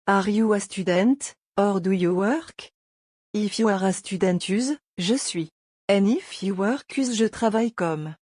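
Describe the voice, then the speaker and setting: A woman with a warm, mellow voice runs a scripted beginner lesson. She explains each point patiently and step by step. The recording is dry and close. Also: mid-range voice, measured pace, breathy edge, no echo. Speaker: language teacher.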